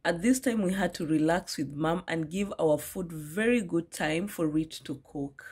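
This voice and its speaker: A woman's voice: fairly low pitch, moderate pace. A young woman narrates her village cooking video, speaking directly to her viewers in a friendly way. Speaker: cooking vlogger. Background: loud background music.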